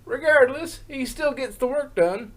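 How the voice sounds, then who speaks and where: A woman voicing a kindly elderly farm pony grandmother in a fan fiction reading, with folksy, drawn-out phrasing. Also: sits high. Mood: fond, proud. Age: old.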